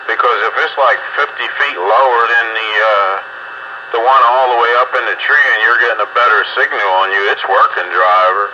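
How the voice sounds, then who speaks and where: A man with a middling voice chats over two-way radio. He sounds folksy and plain-spoken as he reasons things through. The transmission is muffled, with clear static noise. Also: mid-range voice, fairly quick. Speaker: amateur radio operator. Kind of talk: casual on-air radio chat.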